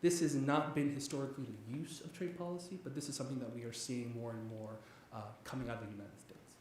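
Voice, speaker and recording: A man's voice: medium pitch, quick pace, a little mellow. A man from Nigeria who teaches economics, giving an eager, talkative guest lecture with many fillers and restarts. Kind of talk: public lecture. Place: large echoey church hall. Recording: very echoey hall, faint background noise.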